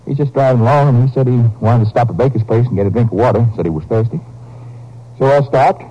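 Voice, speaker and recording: Guy doing a whiny american petty crook, talks fast, dark muffled tone, slangy, old 50s radio recording. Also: medium pitch, natural voice. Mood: resigned, confessing. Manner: protesting, slangy, ungrammatical. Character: evasive, whining small-time male crook under questioning. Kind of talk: 1950s radio crime drama acting.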